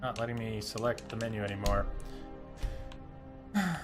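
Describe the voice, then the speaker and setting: A man's voice: fairly low pitch, expressive, normal speed, over music. A man giving casual, chatty live commentary as a game streamer, explaining things and reading menu text aloud.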